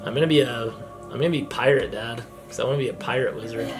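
A man in his thirties with a higher-pitched voice, chatting playfully while gaming at home as vlog host. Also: fast talker. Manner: playful, joking, excitable. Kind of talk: casual chat while gaming. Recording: music in background.

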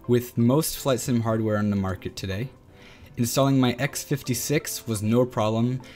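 Young man reading an earnest gear review. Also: mid-range voice. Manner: earnest, steady read-through. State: recovering from illness, voice a bit weak. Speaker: flight sim reviewer. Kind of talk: scripted product review. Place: small home gaming room. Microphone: close desk mic, wearing headphones.

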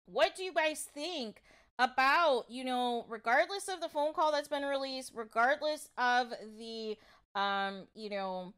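A woman's voice: sits high, unhurried, fairly bright tone, clear, resonant tone. A woman hosting informal gossip commentary, speaking emphatically and repetitively, often addressing her listeners directly. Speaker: online commentary show host.

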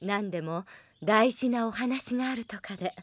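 A woman playing a gentle, refined, caring mother in an anime audio drama, soft and polite. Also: on the high side, normal speed, dry recording, close to an everyday voice. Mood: warm, gentle.

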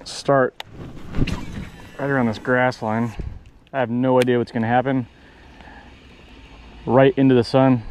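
A man's voice: mid-range voice, little pitch movement, a little slow, long pauses, a little mellow, poor audio. An American man in his thirties chatting casually to camera as a fishing vlogger, with laid-back, slangy bro talk and some swearing. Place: outdoors on a kayak on open water. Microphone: action camera close by. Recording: outdoor wind and boat noise.

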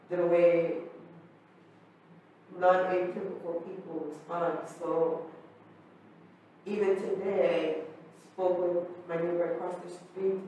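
Woman from Turkey, earnest reflective storyteller, flat even delivery, recorded from afar in a roomy gallery. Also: fairly low pitch, a little hiss or hum. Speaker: audience member sharing a story. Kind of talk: personal storytelling. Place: art gallery, live audience. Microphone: far camera mic. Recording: echoey gallery from far off.

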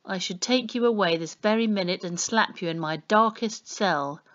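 A woman's voice: mid-range voice, normal speed, close to an everyday voice. Woman doing a nasty pompous cop in a kids book, fake polite and snappy, muffled audio. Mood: scornful. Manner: mock-polite, snapping, sarcastic. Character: pompous, sneering, menacing male policeman.

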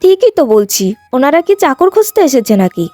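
A very high voice for a vain young woman in a cartoon fairy tale, acted by a woman. She speaks insistently and with feeling, close and dry over faint music. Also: sing-song, normal speed, warm tone, no echo. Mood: haughty, indignant. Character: vain young long-haired woman, haughty and quarrelsome, later humbled.

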